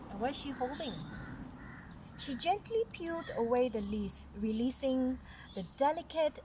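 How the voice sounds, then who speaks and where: A woman reading a fairy tale aloud to children in an instructive way, pausing for chatty comments and questions. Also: high voice. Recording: noisy home recording.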